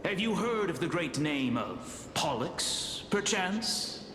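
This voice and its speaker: A man playing a grandiose, eccentric and sinister ancient alchemist in a fantasy game, with theatrical, pompous, drawn-out delivery. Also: a little high, sing-song, noisy background. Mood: boastful, grandiose. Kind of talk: scripted fantasy game voice acting. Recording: echoey hall, music behind.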